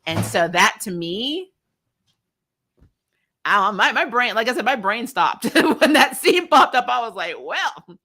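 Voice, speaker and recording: Bright-voiced woman, casual opinionated reviewer. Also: a little high, slow pace, pauses often, faint music behind. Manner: animated, slangy and sweary, breaking into laughter; acts out dialogue in mocking quotes. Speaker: host of a TV recap show.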